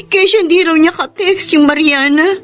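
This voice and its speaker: A woman's voice: very high voice, moderate pace. A woman with a Filipino accent playing a jealous, hurt young mother and girlfriend in a radio romance drama. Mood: troubled, confiding. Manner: emotional, suspicious. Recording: music bed underneath.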